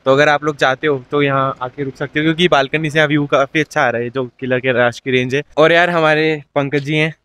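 A man's voice: fairly high pitch, quick pace, few pauses, clear, resonant tone. A young man in his twenties chatting casually as a travel vlogger, filming himself at arm's length outdoors. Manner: chatty, relaxed, says "yaar" often. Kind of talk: casual travel vlog to camera. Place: outdoors on mountain roads and hotel grounds. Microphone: phone or camera held at arm's length.

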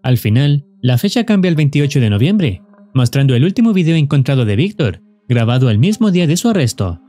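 A man giving scripted explanatory narration as a horror video narrator, measured and formal. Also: mid-range voice, very animated, mellow. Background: background music.

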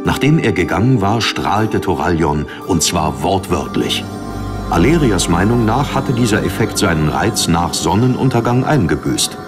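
Deep-voiced male fantasy narrator. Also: very animated, normal speed. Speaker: audiobook narrator. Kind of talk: scripted dramatic narration. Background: music under the narration.

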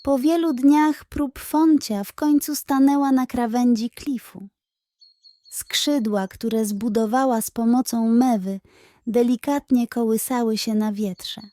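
Slow, dry-sounding woman storyteller. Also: fairly high pitch, steady tone, mellow, dry recording. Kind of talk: scripted narration of a children's bedtime story.

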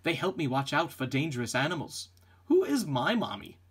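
A man reads a children's picture book aloud with lots of expression. His voice is fairly high and husky, with a very animated melody. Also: moderate pace. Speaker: storybook reader.

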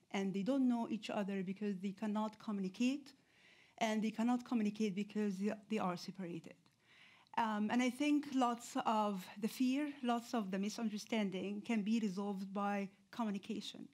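A woman in her forties, originally from Egypt, giving a public lecture in a hall with a lapel mic, talking conversationally with many pauses and fillers. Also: medium pitch, a little mellow. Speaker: guest lecturer. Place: lecture hall with seated audience.

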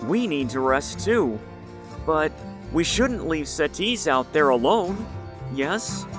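A man's voice: on the high side, very animated, slow pace, music in the background. A man playing an anxious, hesitant male villager fretting over a friend in an audio drama. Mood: worried. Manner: hesitant, worried.

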